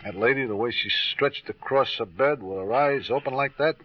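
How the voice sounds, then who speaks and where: On a muffled vintage radio recording, a male actor plays a boozy ex-husband suspected of murder. He is rueful and sardonic, mocking the law with slangy, exaggerated willingness. His voice sits a little low, in 1950s crime drama style. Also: moderate pace, faint music behind, close to an everyday voice. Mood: stunned. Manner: sardonic, slangy, over-obliging. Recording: dull lo-fi old radio recording.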